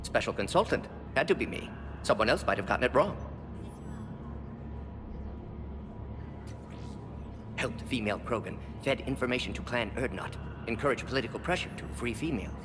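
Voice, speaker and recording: An actor playing a brisk, brilliant aging alien scientist in a video game, speaking in clipped, telegraphic phrases that drop small words. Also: male voice, mid-range voice, lively intonation, lightly acted. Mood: brisk, pleased. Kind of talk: video game cutscene acting. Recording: long hall reverb, music behind.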